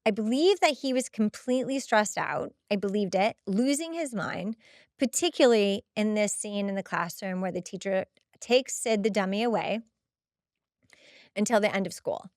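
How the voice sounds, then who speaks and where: A woman's voice: mid-range voice, moderate pace, no echo, studio quality. A woman hosting a podcast, giving a casual, chatty and emphatic recap of a TV episode. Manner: expressive, emphatic, chatty, acts out quoted dialogue. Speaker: podcast host.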